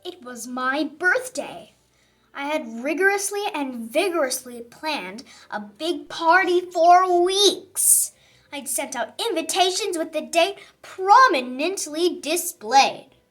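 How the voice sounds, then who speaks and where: A woman's voice: high voice, expressive, takes it slowly, a bit bright. A woman reading a children's picture book aloud in a theatrical, emphatic narrating style. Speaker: children's story reader. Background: music.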